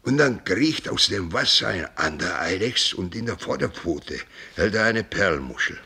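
Gravelly old sea captain. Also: male voice, low-pitched, animated, normal speed, bright, forceful tone, heavily acted. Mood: animated storytelling. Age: older. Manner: rambling, folksy storyteller, sprinkles in English words. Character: old sea captain, boisterous, tipsy, sentimental, shrewd. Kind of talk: radio play acting.

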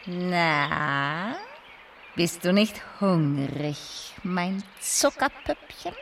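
Wheedling, slow old wicked queen. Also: fairly low pitch, sing-song, fairly bright tone, slight background noise, full character voice. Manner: wheedling, theatrical. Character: sly old wicked queen disguised as apple seller. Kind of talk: children's radio play acting.

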